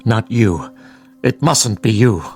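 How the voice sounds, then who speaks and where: An actor playing a weary, dignified old schoolteacher and protective father in a radio drama, speaking politely and gravely in measured phrases. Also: on the low side, expressive, darkish tone, soft background music. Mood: grave, pleading. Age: older.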